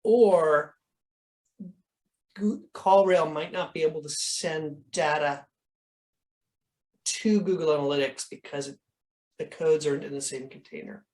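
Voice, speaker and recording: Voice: medium pitch, measured pace, long pauses, a little mellow, low-quality recording. An instructor explaining things informally in a question-and-answer coaching session over a video call, in a conversational way that often qualifies itself.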